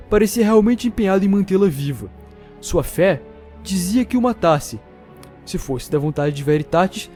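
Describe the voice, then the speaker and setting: A man's voice: on the high side, a little mellow. A man with a Brazilian accent narrating a fantasy story in a dramatic, scripted storytelling style. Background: music.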